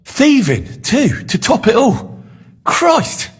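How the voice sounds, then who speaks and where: Husky, sardonic young blacksmith. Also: male voice, very high-pitched, huge pitch swings, takes it slowly, heavily acted. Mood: teasing, disapproving. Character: weary, teasing young blacksmith, down-to-earth and sardonic. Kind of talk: video game voice acting.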